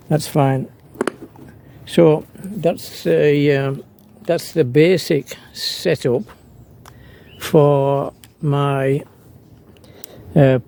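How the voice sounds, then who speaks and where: Male hobby vlogger, fry-edged voice, off-the-cuff and low-key, recorded outdoors with obvious ambient noise. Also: warm tone. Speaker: amateur radio operator, vlogger. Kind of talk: casual unscripted demonstration. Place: outdoors, partly on a breezy beach. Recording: clearly noisy outdoor ambience.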